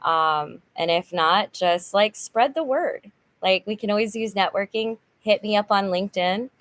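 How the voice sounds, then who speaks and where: A woman's voice: mid-range voice, a little slow. A middle-aged woman hosting a casual livestream chat, upbeat and chatty, with many fillers and restarts. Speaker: channel host, nonprofit volunteer. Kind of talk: casual livestream chat reading viewer comments.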